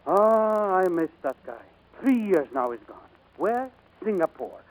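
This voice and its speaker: A man's voice: sits high, very animated, a little slow, low-quality recording. An actor playing an effusive, chummy male restaurant proprietor in a 1940s radio drama, on a vintage broadcast recording. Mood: chummy, admiring.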